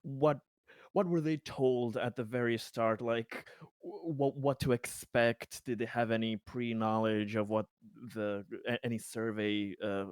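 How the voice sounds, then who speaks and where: A man's voice: medium pitch, steady tone, measured pace, smooth, no room sound, studio quality. A man playing a tabletop roleplaying game, improvising wordy questions with a hesitant, stammering delivery.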